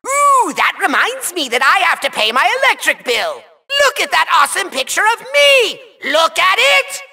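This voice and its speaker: A man voicing a petty, boastful, tantrum-prone villain overlord in a video game, theatrical, taunting and whiny, with a shrill nasal voice. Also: sing-song, normal speed, vocal fry, pushed and bright, full character voice. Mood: mocking. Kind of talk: over-the-top video game villain acting.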